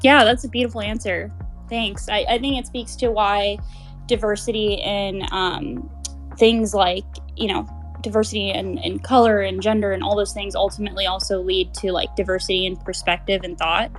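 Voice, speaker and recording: A woman's voice: medium pitch, steady tone, normal speed, soft background music. A woman hosting an online chat, responding with loosely phrased, appreciative comments. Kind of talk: casual chat in an online audio space.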